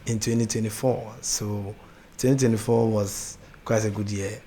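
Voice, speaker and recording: Young ghanaian guy, lowish voice, soft and laughy, bit of hiss behind. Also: steady tone, moderate pace, vocal fry. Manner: soft-spoken, laughs easily, hesitant restarts. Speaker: gospel artist guest. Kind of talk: casual interview chat.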